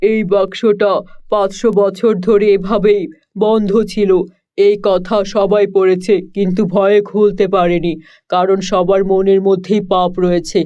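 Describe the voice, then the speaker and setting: High, flat-toned solemn magic box, voiced by a man. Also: measured pace, clean tone. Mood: solemn, granting. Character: solemn magical talking box granting gifts. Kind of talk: children's cartoon story dialogue. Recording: dull lo-fi sound.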